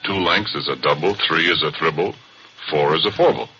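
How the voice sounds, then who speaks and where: A rough, easygoing oil driller spinning his yarn in a slow, folksy drawl. His voice sits extremely deep yet bright and forceful. It comes through a dull old radio broadcast with a faint hiss. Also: heavily acted. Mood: storytelling. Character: Porky, gruff easygoing oil driller and narrator. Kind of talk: 1940s radio drama acting.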